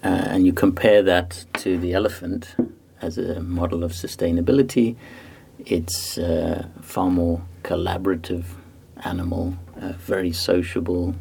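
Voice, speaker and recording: A man from Zimbabwe, a guest author, giving measured, explanatory answers in a podcast interview, with many pauses and fillers. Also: low-pitched, little pitch movement, creaky, mellow, slight background noise.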